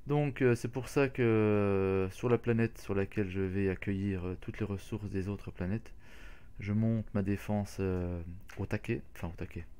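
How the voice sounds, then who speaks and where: A man's voice: on the low side, fairly even intonation, normal speed, dark tone, clear, resonant tone. A man hosting a casual game walkthrough, talking hesitantly with many fillers and restarts. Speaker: gaming channel host.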